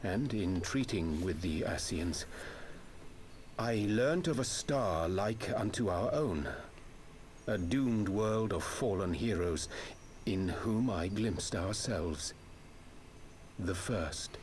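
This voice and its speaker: A grave scholar from a fantasy game, with a very low voice and formal, archaic phrasing. He speaks slowly and solemnly, over faint background noise. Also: expressive, a little mellow. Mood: solemn confession. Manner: measured, formal, archaic diction. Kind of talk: scripted video game voice acting.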